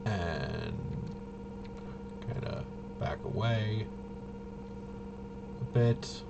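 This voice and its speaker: A man's voice: on the low side, very slow, creaky, lo-fi sound, very noisy. A man giving casual play-by-play of a tabletop roleplaying game, muttering and thinking aloud as he goes. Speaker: solo tabletop game player and host.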